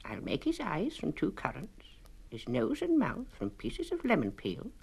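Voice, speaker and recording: A man's voice: fairly high pitch, huge pitch swings, a little slow, gravelly, darkish tone, husky, voice pushed up, heavily acted. A man voicing a doting little old lady in a children's storybook. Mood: fond, eager.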